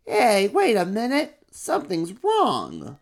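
A man voicing a bold, outspoken little boy monster in a storybook reading, piping high and blunt. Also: huge pitch swings, moderate pace, creaky, darkish tone, full character voice. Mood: indignant.

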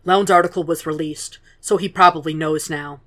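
A woman voicing a blunt male superior who presses with brisk, impatient questions. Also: low voice, steady tone, close to an everyday voice.

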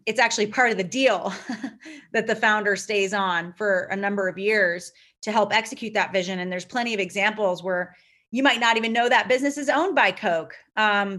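A woman's voice: medium pitch, moderate pace, fairly bright tone. A woman founder, whose first language is Lithuanian, answering interview questions in a thoughtful, rambling way with many fillers. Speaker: company founder and CEO.

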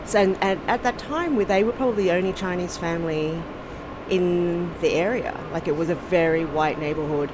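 A woman's voice: lowish voice, normal speed, background noise. Australian woman, reflective and hesitant. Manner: thoughtful, hesitant, many ums and restarts. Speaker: podcast host being interviewed. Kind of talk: casual reflective interview.

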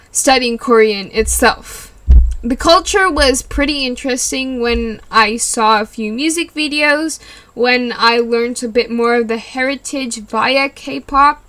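Bright-voiced giggly young woman. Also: fairly high pitch. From California. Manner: rambling, giggly, lots of likes and you knows. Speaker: podcast host. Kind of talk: casual solo podcast chat.

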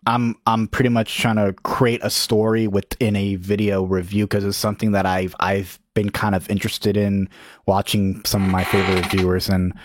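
A man who makes video reviews, talking in a casual group chat in a flat, monotone voice with many halting restarts. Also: fairly low pitch, no room sound, clean studio sound. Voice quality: self-described monotone voice.

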